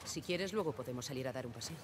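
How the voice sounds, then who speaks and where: A woman's voice: rumbling low, lively intonation, fast, background noise. A woman playing a firm, authoritative survivor leader in a video game. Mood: friendly firm. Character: commanding adult woman leading a survivor settlement. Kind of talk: naturalistic video game acting.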